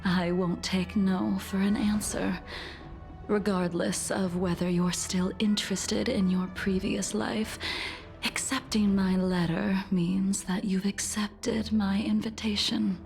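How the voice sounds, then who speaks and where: A woman playing a serene, world-weary, centuries-old swordmaster in a video game, measured, breathy and lightly teasing. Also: mid-range voice, flat delivery, a little mellow. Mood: composed, teasing. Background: music.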